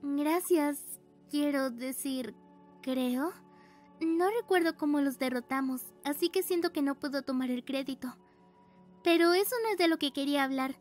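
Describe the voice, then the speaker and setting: High-pitched, earnest teenage girl, acted by a woman. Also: normal speed, darkish tone, soft background music, natural voice. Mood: hesitant. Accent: Latin American. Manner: hesitant, earnest. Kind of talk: fan dub of a comic.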